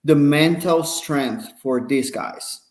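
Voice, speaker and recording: A man's voice: a little high, takes it slowly, darkish tone. A man with a Puerto Rican accent, a native Spanish speaker, hosting a casual interview. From Puerto Rico. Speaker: interview host. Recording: echoey, roomy sound.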